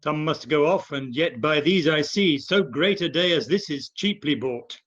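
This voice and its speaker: A man's voice: on the high side, normal speed, a bit bright. An amateur actor playing a stoic older warrior lord and bereaved father in a Shakespeare radio play, restrained in delivery. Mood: stoic grief. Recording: dull, lo-fi video call.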